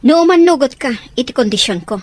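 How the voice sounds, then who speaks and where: A woman's voice: sits high, expressive, brisk. A woman acting an outspoken, teasing mother and wife with a Filipino accent in an Ilocano radio drama. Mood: stern, cold.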